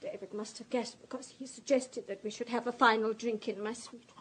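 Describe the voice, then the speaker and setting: An actress in a radio drama playing a distraught, self-pitying society woman, halting and stammering with emotion. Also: fairly high pitch, steady tone, slight background noise, natural voice. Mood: agitated, confessional.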